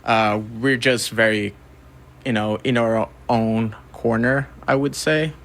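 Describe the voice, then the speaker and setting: A man's voice: mid-range voice, fairly even intonation, takes it slowly, vocal fry, fairly bright tone. A man from Brazil speaking casually as a guest in an interview. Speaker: interview guest, record label co-founder and music photographer.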